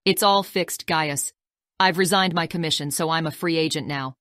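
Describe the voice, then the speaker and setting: Woman acting as ex-army officer, businesslike, low female voice, dry studio sound, audiobook. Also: normal speed, fairly bright tone, dry recording. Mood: matter-of-fact. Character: businesslike female former army officer, consul of new state.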